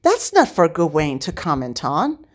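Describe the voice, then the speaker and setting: A woman's voice: low voice, huge pitch swings, a little slow, exaggerated voice. A woman voicing a proud, grumpy young male king in a story, with an indignant, commanding, snappish manner. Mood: grumbling.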